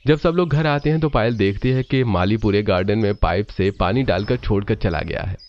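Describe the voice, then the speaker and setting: A man's voice: fairly low pitch, fairly quick, lo-fi sound. A man narrating a scripted story.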